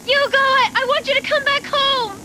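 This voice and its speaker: An extremely high girlish as an earnest cyborg heroine of dubbed anime. She pleads with devotion. The old video tape adds clear noise. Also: steady tone, moderate pace. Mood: pleading. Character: young cyborg girl, earnest, pleading, devoted to her friend. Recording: noisy old VHS tape recording.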